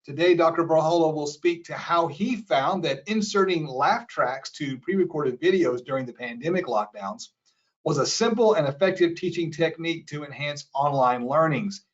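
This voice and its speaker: A man's voice: a little high, lively intonation, moderate pace. A man moderating a webinar, easygoing and stumbling over a few phrases as he introduces the speaker. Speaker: moderator, associate professor. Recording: dull lo-fi webinar audio.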